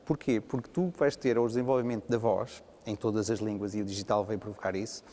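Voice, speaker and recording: A man's voice: lowish voice, steady tone, fairly quick, dark tone, big-room sound, slight background noise. A man with a European Portuguese accent speaking on a business panel, emphatic and enthusiastic. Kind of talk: panel discussion.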